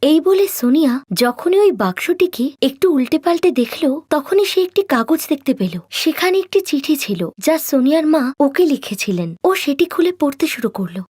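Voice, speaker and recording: A woman's voice: sits high, animated, fairly quick, hardly any pauses, darkish tone, no echo, clean studio sound. A woman reading scripted narration for a cartoon fairy tale as its storyteller. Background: faint music.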